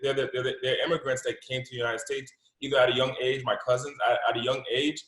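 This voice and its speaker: A man hosting an interview, enthusiastic and repeating his points with halting restarts. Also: medium pitch, level tone, a bit bright. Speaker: podcast host. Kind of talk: interview conversation. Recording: roomy echo.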